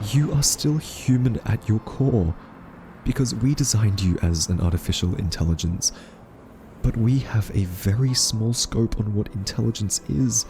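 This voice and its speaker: A man playing an earnest, quirky scientist in a roleplay audio drama, soft-spoken, hesitant and argumentative as a thoughtful captive. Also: fairly low pitch, slight background noise. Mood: exasperated, questioning.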